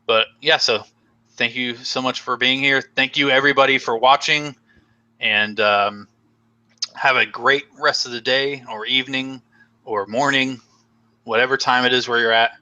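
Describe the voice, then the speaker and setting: Bright-voiced young man chatting on a live stream. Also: medium pitch, steady tone, moderate pace, dull audio. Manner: rambling, filler-heavy, joking. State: losing his voice. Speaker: host. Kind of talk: casual live stream chat.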